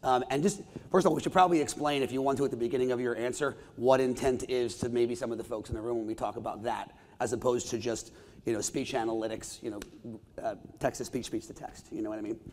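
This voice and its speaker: A man's voice: mid-range voice, steady tone, quick pace, darkish tone. A man moderating a conference panel, affirming answers and asking long, rambling questions. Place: conference stage with audience. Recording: reverberant event hall.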